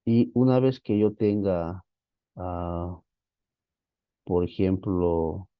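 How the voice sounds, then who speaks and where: Low, gravelly man teaching casually. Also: fairly even intonation, very slow, no room sound, muffled recording. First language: Spanish. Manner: casual, thinking aloud, frequent "¿no?" tags. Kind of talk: screen-share software walkthrough.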